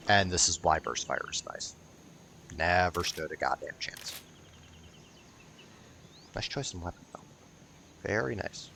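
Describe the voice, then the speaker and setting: A low-voiced man talks casually through a video game playthrough, with plenty of fry in his voice. He is sardonic and grumbly and swears freely. There is clear noise behind him. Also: animated, measured pace, long pauses. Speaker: gaming video host. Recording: noticeable background noise.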